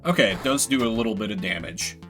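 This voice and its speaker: A man's voice: lowish voice, lively intonation, quick pace, fairly bright tone, faint music behind. A man giving casual, rambling commentary as he streams a video game, with playful mock-grandiose asides. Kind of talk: casual gameplay commentary, voicing game text.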